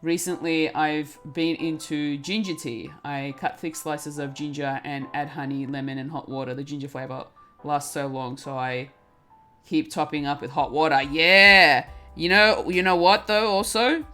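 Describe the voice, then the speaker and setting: Young woman, low voice, easygoing chatty streamer who laughs a lot and trips over her words; faint music underneath. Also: steady tone, unhurried, sharp tone. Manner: chatty, giggly, repeats and restarts words. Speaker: craft streamer. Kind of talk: casual chat while crafting, reading chat.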